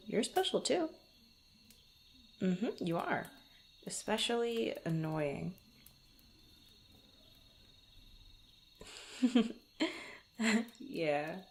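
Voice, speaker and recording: Casting: actress as young female rogue adventurer, unhurried, slightly creaky voice, teasing yet easily flustered, intimate fantasy audio roleplay. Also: on the low side, a little mellow, slight background noise, heavily acted. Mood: playful fond. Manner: hesitant, stammering, playful banter. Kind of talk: intimate ASMR roleplay, one-sided conversation.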